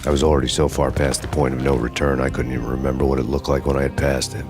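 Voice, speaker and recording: A grim, very deep-voiced noir cop. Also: male voice, fast talker, music in the background. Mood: grim, deadpan. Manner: deadpan, terse. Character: weary, grim hard-boiled male fugitive cop. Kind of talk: noir scripted narration.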